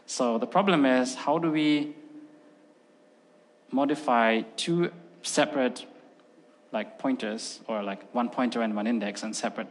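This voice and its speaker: A man's voice: medium pitch, measured pace, pauses often. A middle-aged man whose first language is Russian, giving a casual, filler-heavy technical talk at a conference. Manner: casual and chatty, many fillers like "like" and "um". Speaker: conference speaker. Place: large conference hall. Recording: long hall reverb.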